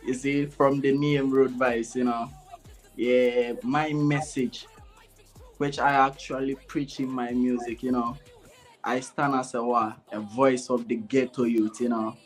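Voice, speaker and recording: A man's voice: mid-range voice, little pitch movement, a little slow, faint music behind. A young man from Ghana with a Ghanaian accent mixed with Jamaican patois. He talks in a casual interview style, keeps asking himself "what?" and answering it, and says "you know" all through. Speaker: dancehall artist, guest. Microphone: remote video call.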